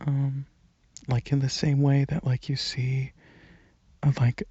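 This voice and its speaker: A young man speaks slowly and softly in a rambling ASMR style, with a mellow, slightly husky voice. He is hesitant and sometimes sinks toward a whisper. The sound is close and dry. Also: mid-range voice, little pitch movement, no room sound, dull audio. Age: young adult. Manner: soft-spoken, hesitant, drifting into near-whispers. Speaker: ASMR channel creator.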